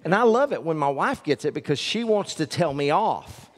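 A man's voice: fairly high pitch, big pitch swings, normal speed. An older man preaching as a pastor, folksy and joking with the congregation, on a headset mic from a church stage. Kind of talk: sermon with announcements. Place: large church stage with audience. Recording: long reverb of a large hall.

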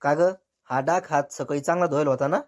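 A man's voice: fairly high pitch, fairly even intonation, quick pace, natural voice. A man voicing a dim, whiny, crude young bridegroom in a cartoon comedy, with a rural Maharashtrian accent. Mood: blunt. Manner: naive, complaining.

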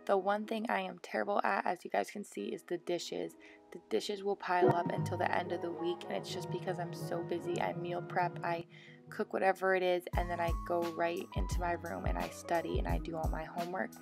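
A woman's voice: on the low side, flat delivery, brisk, creaky. A young American woman vlogger narrating her daily routine in a casual, chatty voice-over. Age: young adult. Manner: chatty, informal. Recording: voice-over with background music. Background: music.

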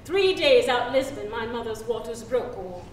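A middle-aged woman playing a free young woman who solemnly recounts her birth at sea in a measured, halting stage monologue. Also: a little high, expressive, natural voice. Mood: grave, sorrowful. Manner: measured, halting, deliberate storytelling. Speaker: actress. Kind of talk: dramatic stage reading, solo storytelling monologue. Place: theatre stage. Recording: roomy stage pickup, distant, hissy ambience.

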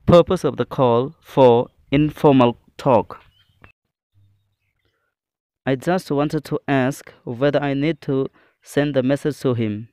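A male teacher delivers a scripted lesson at a slow pace, setting out each phrase deliberately. His voice is clean and smooth at a medium pitch, recorded dry and close. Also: dark tone, no echo. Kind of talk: scripted English lesson, reading example phrases.

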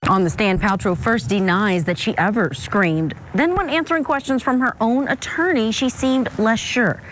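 A woman's voice: a little high, normal speed, poor audio. Woman reporter with faint noise behind. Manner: crisp, even news delivery. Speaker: field reporter. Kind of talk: scripted news report.

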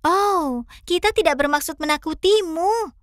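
A woman's voice: very high voice, unhurried, no echo, clean studio sound. A woman voicing a perky, caring young fox who hosts a children's cartoon and talks to the viewers. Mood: cheerful, curious. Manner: animated, clear, addressing children.

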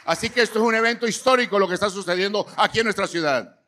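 A man's voice: sits high, rapid-fire, sharp tone. A middle-aged man with a Latin American accent, interpreting phrase by phrase on a church stage. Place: large church auditorium stage.

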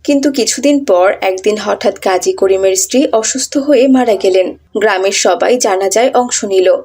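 A woman's voice: mid-range voice, normal speed, a little mellow, husky. A woman reading scripted narration for a children's cartoon story.

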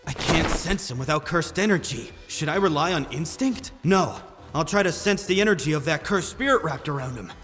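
A cocky young sorcerer played by a man in a manga dub, with a lively, swinging delivery. His voice sits fairly high and turns taunting and emphatic, with music playing underneath. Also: normal speed, big-room sound. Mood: focused. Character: cocky young male sorcerer, brash and keenly analytical. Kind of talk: amateur manga dub acting.

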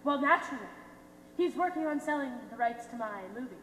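In an echoey, noisy theatre, a young woman plays a scheming middle-aged lady on stage. She is prim and haughty, with a high, affected voice. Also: fairly quick, fairly bright tone. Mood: smug, needling. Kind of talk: amateur stage play acting.

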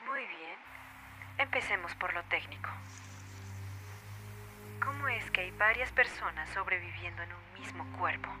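Woman playing a cold, low-voiced menacing interrogator. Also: lively intonation, unhurried, pauses often, full character voice. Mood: cold, controlled. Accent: Latin American. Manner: commanding, threatening. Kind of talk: animated fan dub acting. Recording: loud music behind the voice.

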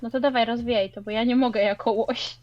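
A woman with a fairly high voice talks casually through a group gaming session. She reacts aloud in short exclamatory bursts as she plays. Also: fairly even intonation, fast talker, no room sound, highs cut off. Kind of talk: casual gameplay chat.

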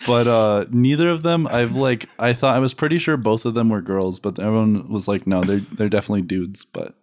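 A man's voice: on the low side, brisk, a little mellow. A young adult man chatting casually as a podcast guest, rambling and joking with lots of filler "like". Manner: giggly, rambling storytelling with frequent "like".

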